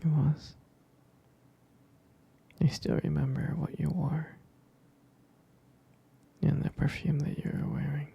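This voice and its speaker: A man playing a tender, devoted husband in an intimate ASMR roleplay, speaking slowly and hesitantly in a soft, breathy, creaky whisper. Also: on the high side, little pitch movement, muffled recording, heavily acted. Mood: adoring, tender. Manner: slow, soft, hesitant, doting murmur. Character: tender, devoted husband comforting his pregnant partner.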